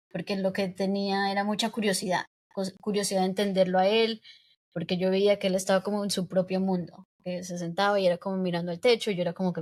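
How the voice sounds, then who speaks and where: A young woman raised in the United States, a podcast guest speaking reflectively in a casual interview, pausing often with fillers. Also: medium pitch. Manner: reflective, hesitant with many fillers.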